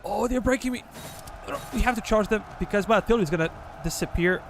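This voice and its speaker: A man's voice: high voice, fast, slight background noise. A man from Serbia with a Serbian accent, casually commenting live on a strategy game while ordering his troops and chatting with viewers. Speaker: game streamer.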